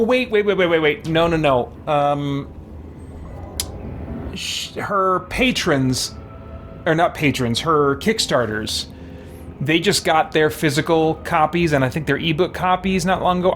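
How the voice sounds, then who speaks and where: A man's voice: a little high, a little hiss or hum. A man chatting casually as a gaming streamer, thinking aloud in a hesitant, rambling way. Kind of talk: casual chat while playing. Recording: music behind the voice. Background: game music.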